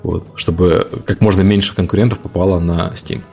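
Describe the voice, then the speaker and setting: A man from Ukraine co-hosting a podcast, explaining his points in long, rapid run-on stretches of casual talk. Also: deep voice, steady tone, gravelly, warm tone, faint music behind. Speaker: podcast co-host.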